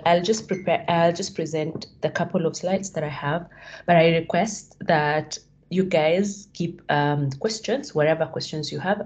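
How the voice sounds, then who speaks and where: A woman's voice: deep voice, darkish tone. A woman from Kenya in her thirties giving a hesitant talk on a video call, with many fillers and restarts. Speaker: guest speaker. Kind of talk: online presentation on a video call. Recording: dull lo-fi call audio.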